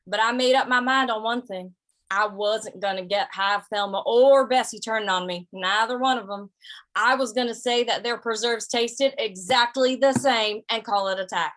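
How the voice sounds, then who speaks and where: A woman's voice: a little high, moderate pace, fairly bright tone, close to an everyday voice. A woman playing an easygoing, laconic male cowboy in an old-time western radio drama, with a folksy, hesitant, stammering delivery. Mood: folksy, resigned.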